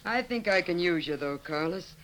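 A woman's voice: on the low side, a bit bright. A tough, brassy frontier saloon boss in an old radio western. She talks bluntly and heartily in folksy western phrases, on a slightly noisy vintage recording. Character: big, tough, brassy widowed frontier cafe owner, easily flattered. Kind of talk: 1950s radio acting.

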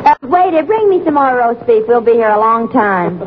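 A wry, teasing companion in an old radio comedy, dry and wisecracking, in a fairly high voice. The tinny recording is very noisy. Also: female voice, sing-song, moderate pace.

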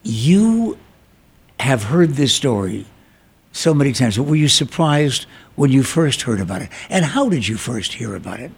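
Older husky-voiced male host. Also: medium pitch, big pitch swings, moderate pace. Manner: jokey, teasing, rambling asides. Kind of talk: interview chat.

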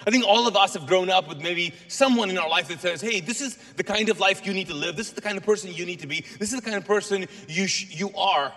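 A middle-aged man who grew up in Kuwait with Urdu as his first language, preaching as a guest pastor to a congregation in a lively, joking way. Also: high-pitched, quick pace, few pauses. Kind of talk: sermon. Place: large church auditorium. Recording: long hall reverb.

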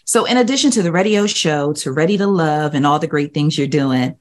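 A woman in her forties asks questions in a playful, lively interview manner. Her voice sits a little low, and she teases her guest as she talks. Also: fairly quick. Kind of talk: casual interview chat.

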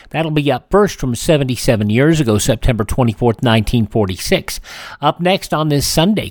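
A man's voice: fairly high pitch, no room sound. Male radio announcer, program introductions, swinging intonation, dry studio. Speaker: radio host. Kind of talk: show introduction, announcer patter.

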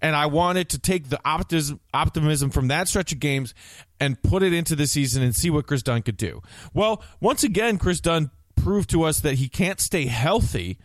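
A man's voice: a little high, lively intonation, normal speed, dry recording. An American man co-hosting a sports podcast, giving blunt, emphatic opinions on basketball in a conversational way.

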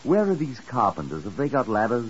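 An actor playing a commanding British police detective in a 1950s radio drama, curt and authoritative. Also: male voice, medium pitch, huge pitch swings, a little fast. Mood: businesslike. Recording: muffled, hissy 1950s radio recording.

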